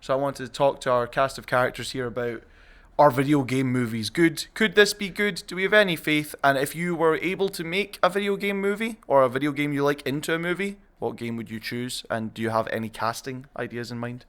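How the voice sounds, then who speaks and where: A man hosting a casual panel podcast, chatting in a jokey, animated way. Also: mid-range voice, brisk, smooth. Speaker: podcast host.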